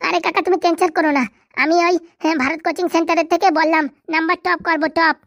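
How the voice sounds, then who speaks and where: A performer doing a cheeky cartoon boy, very high pitch, fast bantering talk, Bengali accent, dry close sound. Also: little pitch movement, a bit bright, no room sound. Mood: brash, excited. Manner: brash, colloquial, bantering. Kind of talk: Bengali comedy cartoon dialogue.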